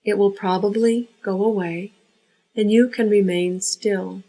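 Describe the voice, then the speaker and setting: A woman leading a guided yoga nidra relaxation, giving unhurried, measured instructions. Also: mid-range voice, steady tone, warm tone, poor audio.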